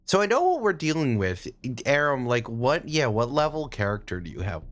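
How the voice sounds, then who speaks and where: A man in casual, improvised chat as a podcast guest, riffing in a rambling, animated way. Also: medium pitch, normal speed.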